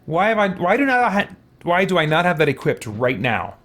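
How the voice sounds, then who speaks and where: A man's voice: high voice, big pitch swings, fairly quick, fairly bright tone, soft background music. A man giving casual gameplay commentary, thinking aloud and muttering to himself with mild exclamations. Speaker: host of a role-play gaming playthrough.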